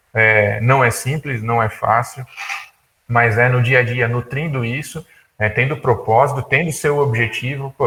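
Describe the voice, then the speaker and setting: A man of about thirty gives an informal talk, colloquial and halting, often repeating words as he thinks. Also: mid-range voice, fairly bright tone. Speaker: guest speaker, tech entrepreneur. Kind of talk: informal talk at an online conference.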